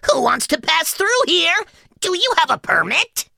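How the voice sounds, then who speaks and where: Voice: very high-pitched, huge pitch swings, unhurried, creaky, bright, forceful tone, no echo, clean studio sound, pitched above the performer's own voice, exaggerated voice. A storyteller voicing a bossy, exasperated big rat in a children's tale, barking demands. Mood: bossy challenge.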